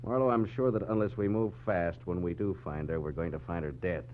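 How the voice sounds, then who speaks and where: Guy doing a gruff-mannered worried husband, real low, brusque, old radio play with faint hum and dull sound. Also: fairly even intonation, a little fast, natural voice. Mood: urgent, grave. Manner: brusque, urgent. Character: grim, brusque, anxious husband hiring a detective. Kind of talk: 1940s radio drama acting.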